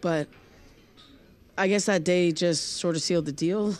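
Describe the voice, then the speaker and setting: A woman playing an awkward, guarded young loner in naturalistic audio drama, speaking in a hesitant, halting way. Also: lowish voice, a little mellow, natural voice. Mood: reflective, uneasy.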